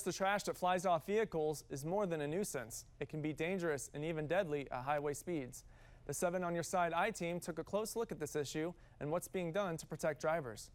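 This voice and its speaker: A man with an American accent reading scripted television news as an anchor. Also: fairly high pitch.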